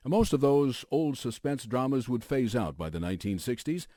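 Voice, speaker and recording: A man's voice: medium pitch, very animated, moderate pace, dark tone, no room sound, studio quality. An American man hosting a radio documentary, reading scripted narration as a news anchor would.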